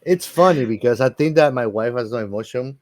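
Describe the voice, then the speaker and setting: A man's voice: mid-range voice, fairly quick. A man co-hosting a podcast, chatting casually in a jokey, teasing way. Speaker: podcast co-host. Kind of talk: casual chat.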